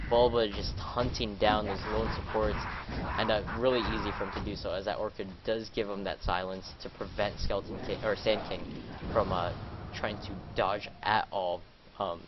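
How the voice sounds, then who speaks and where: Stuffy nasal guy casting a game live, rambly, lots of ums, dull lo-fi audio with hiss behind. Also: lowish voice. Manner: rambling, filler-heavy, jumps up for fights. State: congested, a little sick. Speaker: esports caster.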